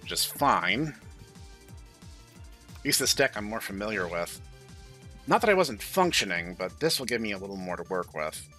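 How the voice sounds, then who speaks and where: A man chats casually through his gameplay in a bright voice. He is wry and pokes fun at himself. Music plays behind him. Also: lowish voice, sing-song, moderate pace, long pauses. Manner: wry, self-deprecating. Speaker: gaming video host reading a visual novel aloud. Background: game music.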